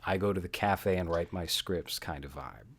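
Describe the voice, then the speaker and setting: A man's voice: sits low, moderate pace, fairly bright tone, husky. A man hosting a podcast, casually analysing films and explaining his points piece by piece. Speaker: podcast host.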